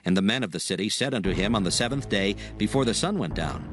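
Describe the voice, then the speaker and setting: A man narrating scripture as a solemn, measured storyteller in a dramatized audio production. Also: on the low side, animated, over music.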